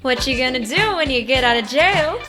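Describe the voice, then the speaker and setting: A woman's voice: high-pitched, expressive, moderate pace, pushed and bright, faint music behind, voice pushed up. A woman voicing a cheerful, theatrical and affectionate male robot who works at a roller rink, with a gushing, dramatic manner. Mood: playful, theatrical.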